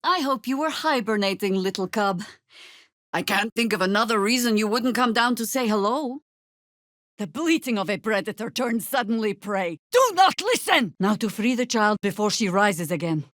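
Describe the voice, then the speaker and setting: A woman performs an older female druid warrior from a fantasy video game, world-weary and wry. Her delivery is measured and grave yet commanding, with wide swings in pitch. The sound is close and dry, with no room on it. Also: lively intonation, fairly bright tone, no room sound, close to an everyday voice. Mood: stern, commanding.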